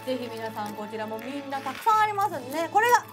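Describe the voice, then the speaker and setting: A young adult woman presenting products in a lively, chatty way on a lapel mic. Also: sits high, racing. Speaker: show presenter. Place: studio with virtual backdrop, indoors. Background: loud music.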